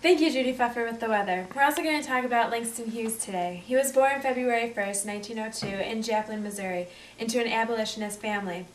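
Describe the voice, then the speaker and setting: A woman's voice: a little high, quick pace, natural voice. A woman playing a perky 1920s radio newscaster, reading scripted bulletins with period slang and occasional slips. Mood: newsy. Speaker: news anchor. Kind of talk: scripted 1920s news broadcast. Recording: echoey room, distant mic, background noise.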